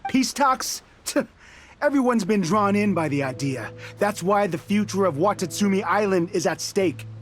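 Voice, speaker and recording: Bitter fiery rebel soldier in a video game, pretty high voice, dramatic, bit of music behind. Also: male voice. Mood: defiant. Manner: defiant, impassioned, proud. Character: embittered, fiery resistance soldier who craves battle.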